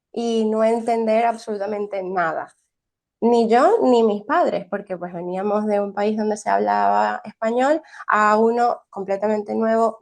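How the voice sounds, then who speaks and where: A woman's voice: medium pitch, creaky, darkish tone. A young woman who teaches early childhood education, from Venezuela, answering interview questions thoughtfully with frequent restarts and tag questions.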